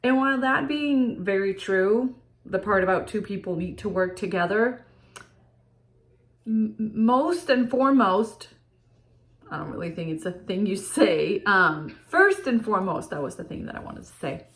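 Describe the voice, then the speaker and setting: A woman's voice: mid-range voice, animated. A Danish-accented woman, a relationship coach, talks straight to her audience at a leisurely pace. Her delivery is earnest and searching, full of "um"s and restarted sentences. English is not her first language, and it shows in her phrasing. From Denmark. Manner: unhurried, earnest, many fillers and restarts. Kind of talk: direct-to-camera talk to viewers.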